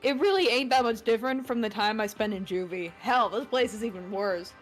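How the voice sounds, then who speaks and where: A woman's voice: fairly high pitch, brisk, faint music behind, natural voice. A woman reading out a tough, crude, swaggering young male delinquent from a visual novel. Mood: gruff bravado.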